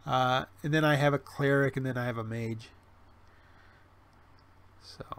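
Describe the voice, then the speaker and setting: A man's voice: medium pitch, steady tone, unhurried, fairly bright tone, a little hiss or hum. A man in his forties hosting a casual livestream, talking in a rambling way full of restarts and fillers, with wry self-deprecating humor. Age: 40s. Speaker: livestream host. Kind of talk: casual livestream chat reading chat. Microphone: webcam stream mic.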